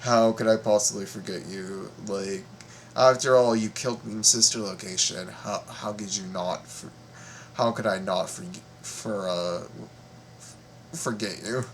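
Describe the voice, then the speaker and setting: A man hosting a casual reaction video, talking in a halting, playful way and often repeating his words. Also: lowish voice, fairly even intonation, slight background noise.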